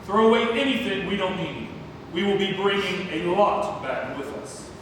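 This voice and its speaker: Stage rival explorer, male actor: haughty, greedy, theatrical, high-voiced, in a very echoey room. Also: animated, normal speed. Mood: commanding. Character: pompous, greedy rival explorer and treasure hunter. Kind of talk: stage play table read. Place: theatre room, recorded from far off. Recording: distant echoey hall with faint noise.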